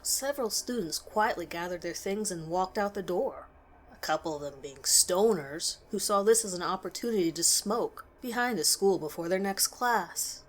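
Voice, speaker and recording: A woman reading a scripted first-person horror story in a measured storytelling way with expressive emphasis. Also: fairly low pitch.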